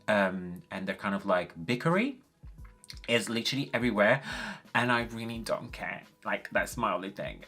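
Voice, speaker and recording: Italian guy chatting thru his makeup routine, um-heavy, lowish voice with a sharp bright edge. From Italy. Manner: chatty, many fillers like um and like. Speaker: beauty vlogger. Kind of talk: casual chat while doing makeup.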